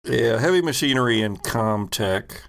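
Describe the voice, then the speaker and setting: A man running a tabletop game as game master, improvising narration with halting, deliberate pauses mid-phrase. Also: medium pitch, animated.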